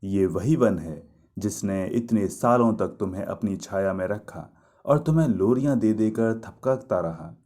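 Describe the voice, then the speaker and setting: A man's voice: lowish voice, mellow. A man voicing a lovelorn, reproachful young woman in a mythological story, speaking in a poetic, pleading, emphatic way.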